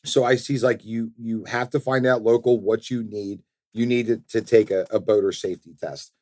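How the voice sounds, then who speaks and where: A man in his late thirties chatting casually as a podcast co-host, telling stories in an animated, slangy way with some swearing. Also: fairly low pitch, normal speed, no echo, clean studio sound. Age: 30s, almost forty. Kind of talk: casual storytelling chat.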